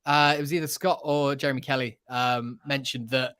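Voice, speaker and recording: A man's voice: mid-range voice, steady tone, moderate pace, fairly bright tone, clean tone. A man co-hosting a podcast, chatting casually with the enthusiasm of a film fan. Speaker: podcast co-host. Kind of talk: casual chat.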